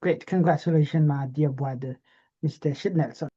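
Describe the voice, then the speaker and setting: A woman's voice: low voice, moderate pace, dark tone, breathy edge. A woman hosting an English practice session, giving short, encouraging prompts. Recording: muffled lo-fi online call audio.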